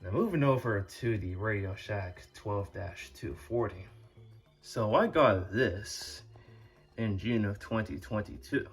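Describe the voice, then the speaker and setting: Guy w a husky voice rambling thru a show and tell, real slow, music playing behind. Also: lowish voice. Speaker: hobbyist video host. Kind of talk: casual show-and-tell narration.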